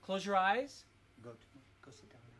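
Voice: medium pitch, sing-song, normal speed, fairly bright tone. A parent leading a family devotional and prayer, giving short instructions and prompts. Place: at home with family. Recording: noisy home video recording.

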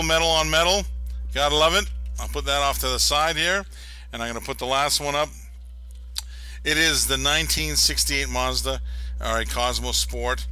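A man hosting a live diecast car auction, giving a hyped, pushy sales pitch. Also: bright, forceful tone. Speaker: auction host.

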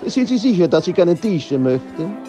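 A man's voice: high-pitched, very animated, normal speed, mellow, faint music behind. A man playing a polite, fussy waiter with an Italian accent in a comic audio drama, courteous and eager to serve. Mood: polite, puzzled.

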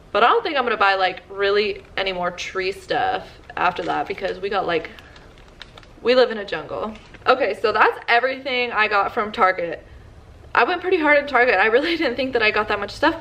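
A woman's voice: mid-range voice, a bit bright, a little hiss or hum. A woman in her twenties chatting casually through a shopping haul in her living room, with lots of "like" fillers. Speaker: vlogger.

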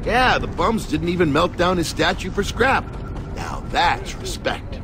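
A wisecracking soldier in a game who needles his squadmates with sarcasm. His pitch moves a great deal, with game music playing underneath. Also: sing-song, a little slow. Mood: teasing. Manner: sarcastic and teasing. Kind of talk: video game cutscene acting.

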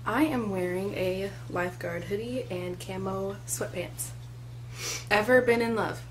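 A woman's voice: mid-range voice, unhurried, a little hiss or hum, low-quality recording. A woman in her twenties chatting casually to a webcam in a small room, with lots of "like" and "um" fillers. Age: 20s. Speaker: co-host. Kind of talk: casual chat. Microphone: webcam at desk distance.

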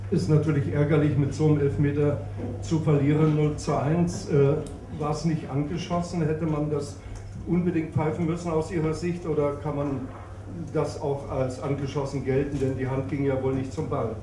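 A man's voice: a little high, little pitch movement, normal speed. A man asking a question as a reporter at a press conference, far from the mic in an echoey, noisy room.

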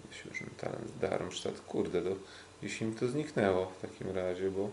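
Halting man chatting casually. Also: low voice, darkish tone, a little hiss or hum. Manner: hesitant, halting, repeats himself. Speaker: podcast co-host and kickboxing commentator. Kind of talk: casual unscripted sports chat.

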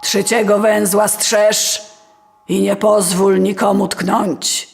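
A woman playing a stern, bearded old male river spirit in an audio fairy tale, speaking solemnly and deliberately. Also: mid-range voice, level tone, vocal fry. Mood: solemn instructing.

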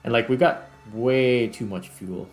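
A man's voice: mid-range voice, a little slow, soft background music. A man giving casual gameplay commentary, rambling and thinking aloud as he hosts. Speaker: gaming video host.

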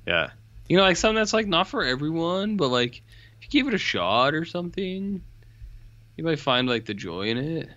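A man's voice: fairly high pitch, very animated, normal speed, pushed and bright, no echo, highs cut off. A man co-hosting a podcast, chatting casually and teasing playfully. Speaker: podcast co-host. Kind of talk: casual banter.

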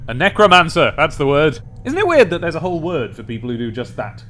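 A man's voice: on the high side, big pitch swings, fast talker, a bit bright. Young British man, chatty game commentator. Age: young adult. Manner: chatty, wry, rambling asides. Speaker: let's play host. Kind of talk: casual game commentary with dramatic reading. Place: small indoor room at a desk. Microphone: desk microphone with pop filter, close. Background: game music.

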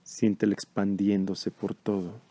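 Man, meditation guide, dark soft-edged tone, very even intonation, calm measured delivery. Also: fairly low pitch, no echo.

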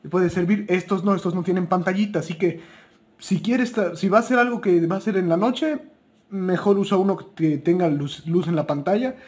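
A man's voice: sits high, moderate pace, a little mellow. A man with a Mexican Spanish accent giving buying tips in a chatty, informal, unscripted way, correcting himself as he goes. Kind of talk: casual unscripted product talk.

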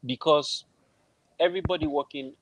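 A man's voice: a little high, normal speed, noisy background. A man from Nigeria with a Nigerian accent, speaking conversationally as a film composer guest on a video call. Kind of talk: conversational interview answers. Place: room at home, on a video call. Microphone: wired earphones on a video call.

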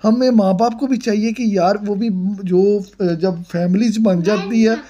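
A man's voice: sits high, fairly even intonation, a little slow, dark tone, dull audio. A man hosting a family vlog, chatting and moralizing while someone cooks outdoors. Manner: chatty, moralizing, repeats the other speaker's words. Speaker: vlog host. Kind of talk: casual chat while filming cooking.

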